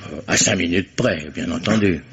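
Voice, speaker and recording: A husky, pompous suspected lawyer. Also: male voice, low voice, moderate pace, fairly bright tone, full character voice. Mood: defensive. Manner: elaborate, slightly pompous and hesitant. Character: fussy, eloquent male lawyer under suspicion. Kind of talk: 1950s radio drama acting. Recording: dull lo-fi archive radio recording.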